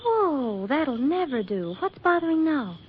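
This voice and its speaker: An actress playing a flippant, independent career-woman photographer with a soft romantic streak, in 1940s radio drama style. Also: high voice, very animated, unhurried. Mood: wry, wistful. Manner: breezy, flippant banter. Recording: muffled, noisy 1940s radio recording.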